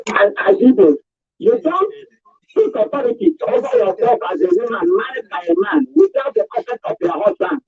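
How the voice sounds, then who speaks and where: A man giving his opinion in a radio discussion, speaking in a halting, emphatic, argumentative way. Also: very high-pitched, very animated, creaky, crowd noise. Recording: dull lo-fi sound.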